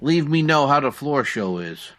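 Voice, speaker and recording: A man's voice: mid-range voice, animated, moderate pace, fairly bright tone, a little hiss or hum, natural voice. A man playing a loyal, wry 1940s cab driver with colloquial, streetwise phrasing, in old-time radio comedy style. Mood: helpful, eager.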